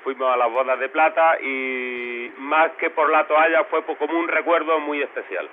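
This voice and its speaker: High-pitched Spanish man on tinny phone. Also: fairly even intonation, normal speed. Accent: Spain. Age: middle-aged. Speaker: prank call victim. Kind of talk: spontaneous phone conversation. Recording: tinny phone line, faint hiss.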